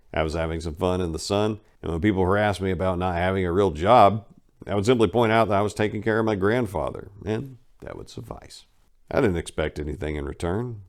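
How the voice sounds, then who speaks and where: A man giving a first-person dramatic reading of a memoir, telling it bluntly and wryly with sudden emphatic lifts in his voice. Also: low voice. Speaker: narrator reading a memoir aloud.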